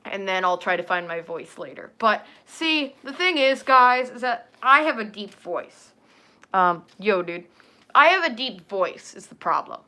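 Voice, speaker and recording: A woman's voice: mid-range voice, big pitch swings, unhurried, pushed and bright. A young woman chatting casually on a livestream, rambling with lots of fillers and talking straight to her viewers. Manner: rambling, filler-heavy, talks to chat. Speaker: furry livestreamer.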